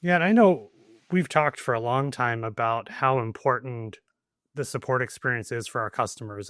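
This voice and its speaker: A man's voice: medium pitch, a bit bright, no room sound, studio quality. A man co-hosting a podcast, talking conversationally as he interviews and sums up for guests. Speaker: podcast co-host.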